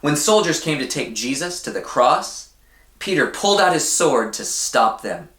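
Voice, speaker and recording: A man reading a children's story aloud in an expressive, deliberate way, often putting questions to his young listeners. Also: a little high, echoey room. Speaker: church storybook reader for children.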